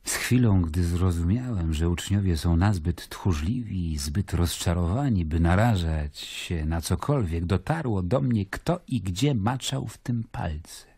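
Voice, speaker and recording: An actor playing a weary Roman governor of Judea, narrating in a measured, reflective, ironic way in a radio drama. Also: male voice, low voice, lively intonation, dark tone, husky, dry recording, full character voice.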